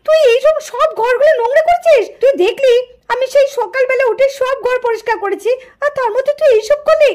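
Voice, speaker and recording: A woman's voice: very high voice, brisk, darkish tone. A woman voicing a strict, scolding mother with a stern, accusing manner in a puppet doll cartoon. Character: scolding, strict mother of two young girls.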